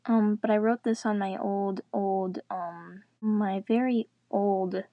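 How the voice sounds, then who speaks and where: A woman's voice: mid-range voice, steady tone, slow, dry recording, phone-quality sound. A young adult woman reading and chatting casually into a tablet microphone, in a self-deprecating, giggly manner. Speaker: amateur reader and narrator. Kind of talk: casual read-aloud of a story with self-mocking asides. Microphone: tablet's built-in microphone.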